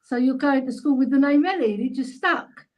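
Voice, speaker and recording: A woman's voice: high voice, brisk, a little mellow. A middle-aged woman with a London accent, chatting casually and telling anecdotes. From London, England. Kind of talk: casual chat.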